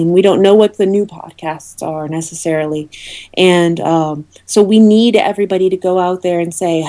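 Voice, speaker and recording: A woman answering questions as an interview guest. Her voice sits a little low, with a very mellow, relaxed tone. She explains things earnestly in everyday conversational speech. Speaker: interview guest, awards committee representative.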